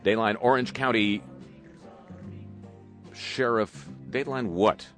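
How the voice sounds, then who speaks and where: A man's voice: lowish voice, takes it slowly, fairly bright tone, soft background music. A man hosting a talk show, reading news items with wry, sarcastic asides. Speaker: host. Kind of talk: radio talk commentary.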